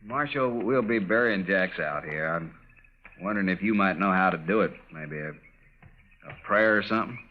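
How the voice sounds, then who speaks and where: A man playing gruff cattle boss, deep voice, blunt folksy talk, old radio drama, muffled with background noise. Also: moderate pace, natural voice. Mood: solemn. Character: hard-bitten, proud Texas trail boss, grudgingly respectful. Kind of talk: 1950s radio western acting. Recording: dull, noisy vintage radio recording.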